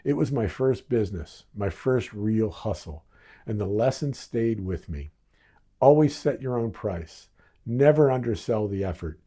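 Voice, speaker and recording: A man's voice: on the low side, expressive, unhurried, dark tone, husky. An American man in his sixties from Baltimore reads his own memoir as scripted narration, with wry, dramatic, punchy phrasing. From Baltimore, United States. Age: 60s. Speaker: author reading own memoir.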